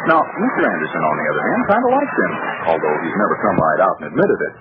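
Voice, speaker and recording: Animated male announcer on tinny old radio. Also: sits low, fast talker. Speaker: announcer and narrator. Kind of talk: 1940s radio announcing, scripted narration. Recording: tinny, noisy 1940s radio broadcast.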